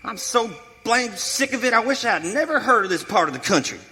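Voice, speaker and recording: A griping settler father in a western radio play, with a rustic American accent. His voice is high, piercing and swings widely in pitch. He talks in exasperated, folksy complaints. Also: expressive, a little fast, sharp tone, soft background music, exaggerated voice. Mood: grumbling. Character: cranky, grumbling settler father, always complaining. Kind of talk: live western radio theater acting. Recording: reverberant hall, faint background noise.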